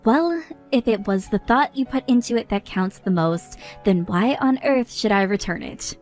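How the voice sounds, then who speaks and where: A woman's voice: medium pitch, animated, moderate pace, a little mellow, faint music behind, lightly acted. A woman playing a fed-up, scolding young bride. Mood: indignant. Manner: indignant, scolding.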